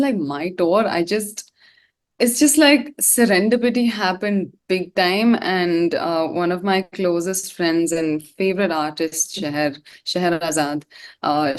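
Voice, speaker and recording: Female singer as interview guest, slightly low register, informal and rambling delivery. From India. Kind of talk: casual chat.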